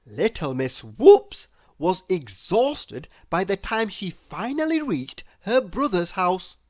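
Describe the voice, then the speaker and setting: Woman reading a children's story, lively pitch. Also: no room sound. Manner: deliberate, theatrical, with playful exclamations. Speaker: read-aloud storyteller. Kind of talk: expressive children's picture book narration.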